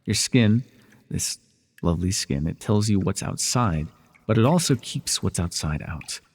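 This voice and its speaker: Breathy, low-voiced teasing villain mentor played by a man. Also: expressive, a little slow, full character voice. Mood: patient, instructing. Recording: reverberant indoor pool echo.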